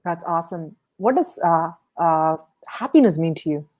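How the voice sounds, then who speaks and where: Lower-pitched woman hosting a podcast interview. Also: lively intonation, moderate pace, a little mellow. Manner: affirming, encouraging.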